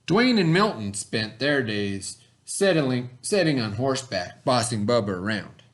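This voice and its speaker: A middle-aged man reading a picture book aloud to a class in a folksy storybook manner, pitching his voice up for the characters. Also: big pitch swings, unhurried. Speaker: teacher.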